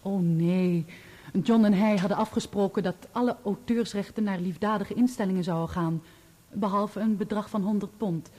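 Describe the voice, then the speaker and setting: An actress in a Dutch radio play, playing a loyal, bereaved woman who testifies in measured, articulate, formal sentences. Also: mid-range voice, steady tone, warm tone, lightly acted. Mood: composed, assured.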